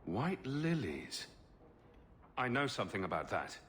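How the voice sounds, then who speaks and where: A man's voice: fairly low pitch, very animated, unhurried, a bit bright, a little hiss or hum. A man voicing a wry, self-assured adventurer in a video game, with a dry, teasing manner. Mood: concerned.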